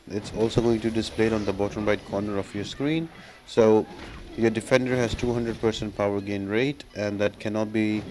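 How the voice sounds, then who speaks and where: A man's voice: on the low side, darkish tone. A man giving casual, instructional commentary as he explains a gameplay walkthrough step by step. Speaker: game guide video host.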